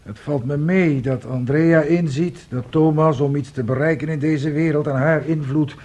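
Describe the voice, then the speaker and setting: An actor in a radio play playing a paternal middle-aged head of the household with a measured manner. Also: male voice, a little high, fairly even intonation, warm tone, natural voice. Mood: mild concern.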